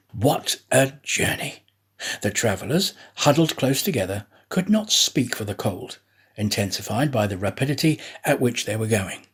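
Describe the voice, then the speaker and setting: A man narrating a classic adventure story in a measured, expressive storytelling manner. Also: medium pitch, breathy edge. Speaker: audiobook narrator, actor.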